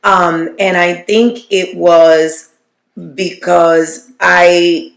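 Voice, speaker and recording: A woman co-hosts a faith-based podcast. Her voice is gravelly with a fry at the edges. She speaks reflectively, often restarting her sentences, and the room gives a noticeable echo. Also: on the low side, flat delivery, drawn-out words. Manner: reflective, often restarts, quotes scripture figures. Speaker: podcast co-host. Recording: roomy echo.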